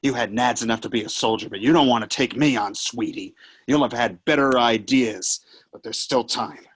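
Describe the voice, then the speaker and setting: A man playing Satan as a mocking, brash, theatrical devil with a rough voice in a play read-through. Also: medium pitch, moderate pace, natural voice. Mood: outraged taunting. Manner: sneering, taunting, swings between mutter and outburst. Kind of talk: acting in a virtual table read.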